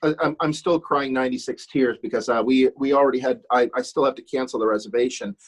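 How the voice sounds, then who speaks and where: A middle-aged man whose first language is German chats as a podcast guest. His voice is husky and a bit breathy, at a middle pitch, and he stops and restarts his sentences often. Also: steady tone, fast talker, rough recording. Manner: hesitant, many restarts and fillers. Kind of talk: casual podcast chat.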